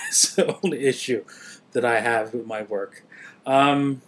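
A man in his thirties, born in Trinidad and raised in Canada, chatting casually to a webcam from a small home office, rambling with many filler pauses. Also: medium pitch, fairly bright tone, low-quality recording. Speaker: vlogger. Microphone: webcam at desk.

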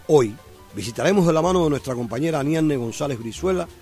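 A man hosting a radio program, reading scripted narration in a measured, poetic way. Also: on the high side. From Cuba. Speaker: radio host. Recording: reverberant room with soft music.

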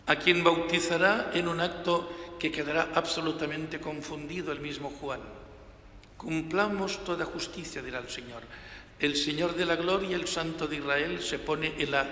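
A man's voice: a little high, moderate pace. Older male priest, sixties, emphatic homily delivery on a lectern mic, roomy church sound with a faint hum. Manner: emphatic, gestures with hands, varies loudness for emphasis. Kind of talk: reading the Gospel and preaching a homily. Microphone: gooseneck lectern mic. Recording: reverberant church hall, faint room noise.

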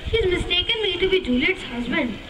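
Young woman playing stage haggler, very high pitch, amateur comedy acting, noisy distant outdoor recording. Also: lively intonation, fairly quick. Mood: scheming. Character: haggling house-viewer in a comic stage play. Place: outdoor stage in a school courtyard. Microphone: handheld stage microphone, filmed from audience.

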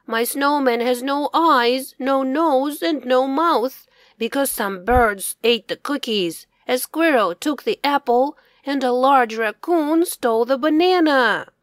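A woman's voice: high-pitched, slow, dry recording, voice pushed up. A woman voicing a sad, plaintive little boy in a children's storybook. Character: sad little boy upset about his snowman.

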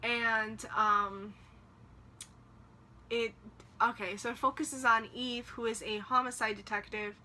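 A woman's voice: fairly high pitch, level tone, slow pace, long pauses, pushed and bright, slight background noise. A young woman chatting casually to camera about her reading plans, rambling with lots of fillers, recorded in a small room by her bookshelves. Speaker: book vlogger.

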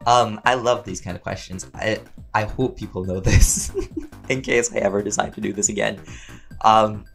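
A man chatting casually and playfully as he answers fan questions, rambling along in an expressive way. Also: lowish voice, moderate pace, gravelly, faint music behind. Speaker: voice actor and audio roleplay creator answering fan questions.

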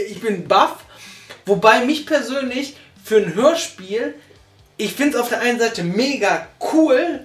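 A reviewer hosting a casual couch chat, talking haltingly with many restarts and tag questions. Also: medium pitch. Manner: halting, restarts a lot, tags remarks with "ne?". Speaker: reviewer, host. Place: small living room, on a couch. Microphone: far camera mic. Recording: roomy, distant mic, faint music.

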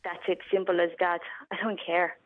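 A woman's voice: lowish voice, level tone, moderate pace. A woman from Romania with a Romanian accent, calling in to a radio show and giving blunt, matter-of-fact short answers. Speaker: caller. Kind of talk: phone-in interview. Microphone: telephone. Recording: tinny phone line.

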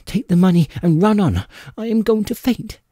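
A man's voice: sits high, moderate pace, mellow, no echo, studio quality, voice pushed up. A man voicing an honest, stubborn, frightened innkeeper mother in an audiobook, speaking insistently. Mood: frightened, urgent. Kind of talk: audiobook character dialogue.

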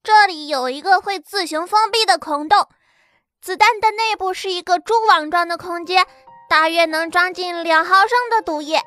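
A clever young boy weapon maker talks briskly and matter-of-factly, played by a woman narrator. His voice is very high and sharp-edged. Soft music plays underneath. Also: dry recording, studio quality. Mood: businesslike.

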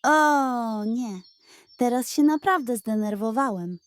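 A woman's voice: on the high side, slow pace, smooth, dry recording. A woman voicing a brave male bison sheriff in a children's western story, decisive and commanding. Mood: annoyed, defiant.

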